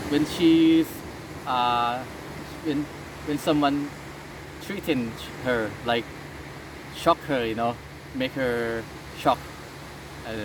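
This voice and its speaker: Thai man, halting English, street noise. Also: mid-range voice. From Thailand. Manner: halting, with many fillers and restarts. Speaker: interviewee. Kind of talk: casual interview, speaking English as a second language.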